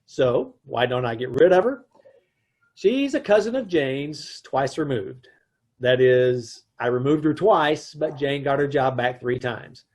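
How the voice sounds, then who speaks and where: A man playing a deadpan, husky-voiced advertising man. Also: medium pitch, measured pace, natural voice. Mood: dry. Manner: deadpan, wisecracking. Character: dry wisecracking advertising man narrating. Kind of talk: old-time radio comedy acting and narration. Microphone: video call.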